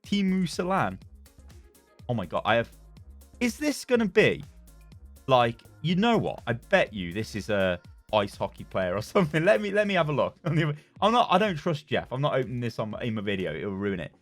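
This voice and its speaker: A man's voice: medium pitch, very animated, moderate pace, dry recording, faint music behind. A young British man chatting casually as a gaming YouTuber, rambling and laughing as he reads and reacts to forum posts. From Britain.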